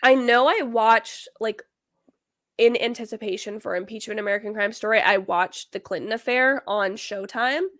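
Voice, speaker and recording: A woman's voice: on the high side, moderate pace, a bit bright. Chatty young woman with a stuffy cold. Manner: chatty, with many fillers like "like". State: slightly hoarse, congested from a cold. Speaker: livestream host. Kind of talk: casual chat.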